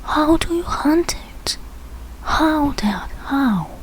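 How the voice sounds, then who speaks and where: A woman's voice: high-pitched, lively intonation, extremely slow, whispered, voice pushed up, full character voice, rough recording. A woman voicing a curious little bear cub from a storybook, asking simple, inquisitive questions.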